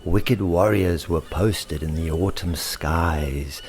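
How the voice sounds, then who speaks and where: Male storyteller, low and unhurried, dramatic delivery of rhyming children's tale, slight ambient noise behind. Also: a little mellow. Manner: theatrical, deliberate, dramatic emphasis. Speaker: author and storyteller.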